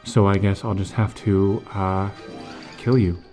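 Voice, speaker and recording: Smug, calculating audio-drama villain, male, acted, low register, halting menace, faint music behind. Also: steady tone, warm tone. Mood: mock regret. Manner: hesitant, drawn-out phrasing with pauses. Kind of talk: fan audio drama acting.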